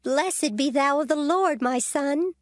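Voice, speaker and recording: A woman's voice: sits high, measured pace, no room sound. A woman playing a pious mother of a grown son in a dramatized scripture recording, speaking formally and devoutly. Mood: grateful blessing.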